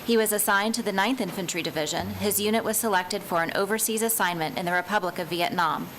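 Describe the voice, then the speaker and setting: A woman county commissioner reading a formal proclamation in a measured, steady way. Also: mid-range voice, slight background noise. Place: county meeting chamber.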